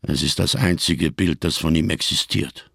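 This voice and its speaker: An actor in a radio crime drama playing a giant, scarred, world-weary survivor who speaks in grand, bitterly ironic phrases. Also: male voice, rumbling low, creaky, a bit bright, slightly rough, dry recording, studio quality, heavily acted. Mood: sardonic, grave. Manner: grandiose, bitterly ironic, slow rhetorical delivery.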